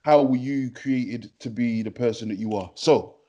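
A man's voice: mid-range voice, moderate pace, warm tone, muffled recording. Easygoing male podcast host. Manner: friendly, says "man" often, rambling questions. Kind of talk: casual interview.

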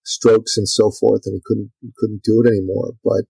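A middle-aged man from Iran speaks in a low, gravelly, slightly husky voice with a dark, mellow tone. His delivery is flat and conversational, filled with ums and restarts. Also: normal speed, no room sound, poor audio. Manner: talkative, many fillers and restarts. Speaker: podcast guest and author. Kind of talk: casual interview talk.

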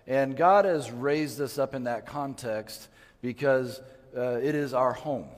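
A man's voice: medium pitch, a little slow. An older man who grew up in West Africa, speaking as a missionary in an earnest, emphatic preaching manner with frequent restarts. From West Africa (Mali). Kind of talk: prayer then church missionary talk. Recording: long hall reverb.

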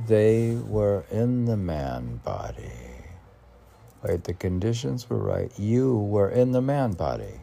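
A man reading poems aloud in a rambling manner, with muttered musing asides and questions to himself, in a gravelly voice. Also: medium pitch, mellow. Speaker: poet reading own poems.

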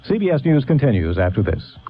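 A man reading a scripted radio news bulletin in a measured, formal way. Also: medium pitch, sing-song. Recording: dull lo-fi old radio.

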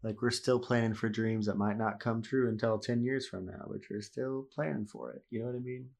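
A man's voice: medium pitch, steady tone, a little fast, creaky, a little mellow. A young man chatting casually as a podcast guest, laid-back and full of "like" and "you know", with a stuffy nose. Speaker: podcast guest, host's husband. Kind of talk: casual chat.

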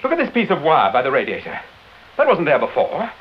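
An actor playing a suave British amateur detective with a clipped, urbane manner in a vintage radio drama. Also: male voice, fairly high pitch, wildly swooping pitch, fast talker. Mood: urgent. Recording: dull, hissy old radio recording.

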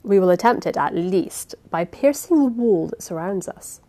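A woman voicing a calm, cold male sea captain who speaks in a measured, lecturing way. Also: mid-range voice, lightly acted.

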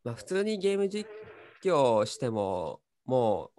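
A man's voice: medium pitch, big pitch swings, slow, mellow, no room sound, studio quality. A man talking casually as a co-host on a radio show. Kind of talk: casual chat.

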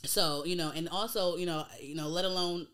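A thirty-year-old American woman hosts a casual podcast in a smooth, clear voice that sits a little low. She talks informally, full of slang, and swears freely. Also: steady tone, fairly quick, fairly bright tone. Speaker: podcast host.

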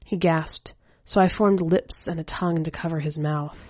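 A woman narrating scripted fiction as a story reader, with a measured, hushed delivery. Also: low voice, fairly even intonation, no echo. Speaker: podcast editor and story reader.

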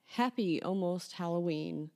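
A woman's voice: fairly low pitch, measured pace, gravelly, darkish tone, slight background noise. A woman presenting a podcast as its host.

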